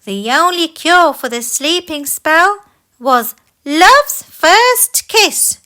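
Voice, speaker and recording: A woman voicing a wicked old hag queen in a children's fairy tale, sly and gloating, with a shrill cackle. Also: lively intonation, very slow, pushed and bright, exaggerated voice.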